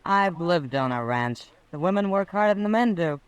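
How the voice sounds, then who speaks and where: A woman's voice: lowish voice, expressive, normal speed, smooth, no room sound, natural voice. An actress playing a worldly, independent frontier saloon woman, dry and knowing, in an old-time radio Western. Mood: wry, teasing. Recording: vintage radio broadcast recording.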